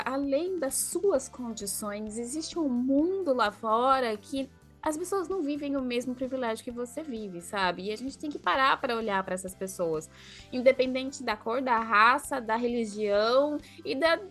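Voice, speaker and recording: High-voiced Brazilian woman chatting on a podcast. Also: big pitch swings, normal speed, a bit bright, faint music behind. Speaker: podcast co-host. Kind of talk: casual podcast chat.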